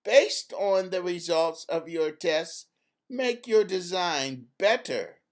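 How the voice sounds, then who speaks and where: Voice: medium pitch, expressive, slow pace. A storybook narrator voicing a confident girl scientist who teaches in a firm, friendly, emphatic way. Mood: instructive. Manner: emphatic, instructive, clearly enumerating.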